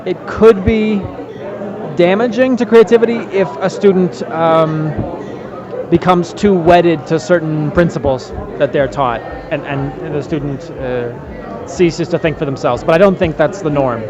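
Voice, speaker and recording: A young American man with a high-pitched voice answers interview questions in a measured, articulate way. The sound is somewhat muffled, with a busy crowd clearly heard around him. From northeastern United States. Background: crowd and urban noise.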